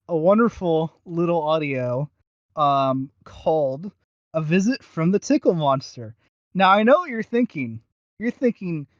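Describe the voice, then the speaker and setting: A man co-hosting a casual review podcast, talking in a rambling, halting but enthusiastic way. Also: on the high side, dry recording, highs cut off. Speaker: podcast co-host reviewing audio roleplays.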